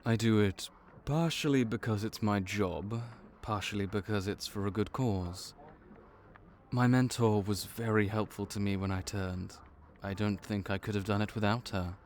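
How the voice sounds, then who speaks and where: A man's voice: on the low side, darkish tone, slight background noise, lightly acted. A man voicing a laid-back vampire clerk and mentor in an audio roleplay, dry and wry as he speaks to the listener.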